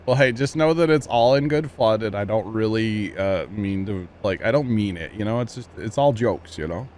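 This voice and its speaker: A man's voice: on the low side, expressive, moderate pace, darkish tone, a little hiss or hum. A man in his mid-thirties from Missouri, chatting casually and teasing friends while streaming a farming game. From Missouri, USA. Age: 30s. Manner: joking, teasing, chatty with lots of fillers. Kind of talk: casual livestream chat while gaming.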